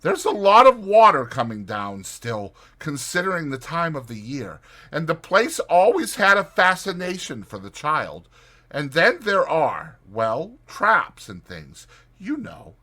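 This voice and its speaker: Guy doing a worried little rat fella from a storybook, high bright voice, earnest and emphatic. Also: huge pitch swings, unhurried, heavily acted. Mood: grave, worried. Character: earnest, worried male water rat, gentle animal friend. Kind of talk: dramatized storybook character reading.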